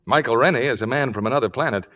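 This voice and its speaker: A man's voice: medium pitch, racing, fairly bright tone. A man hosting with a polished, promotional, scripted 1950s radio announcing delivery. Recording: old radio broadcast in reverberant hall.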